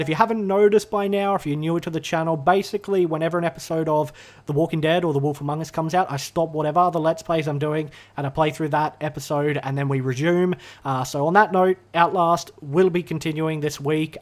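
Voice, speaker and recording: A man vlogging from his room, rambling casually to the camera with lots of fillers and self-corrections, his voice a little hoarse from being sick. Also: on the high side, quick pace. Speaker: gaming YouTuber. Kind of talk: unscripted casual vlog ramble. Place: his own room, indoors. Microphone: talking to a camera.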